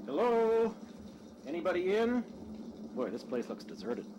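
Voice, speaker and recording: A man's voice: high-pitched, sing-song, unhurried, highs cut off. A man playing a casual, polite young husband, a stranded traveler in an audio drama. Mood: calling out, hesitant. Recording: faint background noise.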